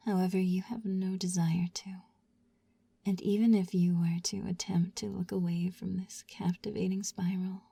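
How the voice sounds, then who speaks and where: Softly whispering woman, gravelly and dry. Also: on the low side, no room sound. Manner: slow, soothing, repetitive whisper. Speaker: hypnosis ASMR narrator. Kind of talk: whispered guided sleep hypnosis roleplay.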